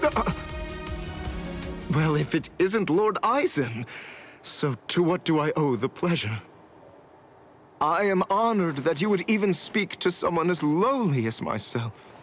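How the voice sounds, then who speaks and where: A man's voice: on the high side, very animated, a little slow, pauses often, a bit bright, faint music behind. An actor playing a theatrical, effusive mad scientist in an anime game, a man both gloating and obsequious. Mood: fawning. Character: Manic, gloating mad scientist man, fawning to his master. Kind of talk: anime video game voice acting.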